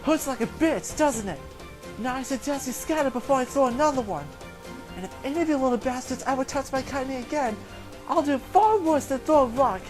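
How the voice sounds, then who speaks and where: A woman voicing a small, tough old grandmother who speaks loud and rough with rage. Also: a little high, normal speed, over music, lightly acted. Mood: threatening.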